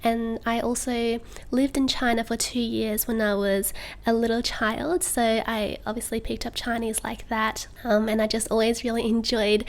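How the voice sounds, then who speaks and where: A young woman, a guest on a podcast, chatting in a bubbly way and laughing often. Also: on the high side. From Australia. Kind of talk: casual interview chat.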